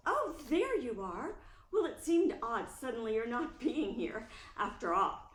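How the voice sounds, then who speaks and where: Actress as emphatic, easily exasperated writer's wife for radio play; swooping intonation, roomy recording with faint noise. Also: female voice, fairly high pitch, unhurried. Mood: brisk, curious. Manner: animated, emphatic. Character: brisk, warm-hearted playwright's wife, easily exasperated. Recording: echoey room, faint noise.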